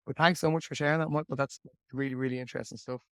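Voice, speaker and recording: A young man hosts an interview in a level, flat-pitched voice. He talks in a friendly, rambling way and restarts his sentences often. The sound is dry and close. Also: very fast, no echo. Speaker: podcast host. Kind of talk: interview hosting.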